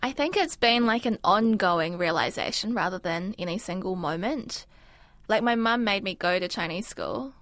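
A woman's voice: medium pitch, expressive, normal speed, fairly bright tone, dry recording. A woman, whose was Mandarin, talking thoughtfully in an interview with frequent ums. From New Zealand. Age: 20s. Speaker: interview guest. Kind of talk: casual reflective interview talk.

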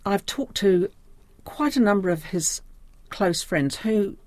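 A woman's voice: medium pitch, lively intonation, takes it slowly, darkish tone, dry recording. An older woman with a New Zealand accent speaking reflectively as an interview guest, thoughtful and often searching for her words. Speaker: interview guest, diary editor.

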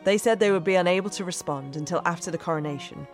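A woman reporter and podcast host giving steady, clear scripted narration. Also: on the low side, fast, faint music behind.

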